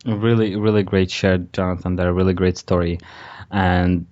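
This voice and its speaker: A man with a fairly low voice hosts a podcast interview. He talks conversationally and is encouraging and affirming toward his guest. The recording sounds dull and lo-fi. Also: level tone, normal speed, darkish tone.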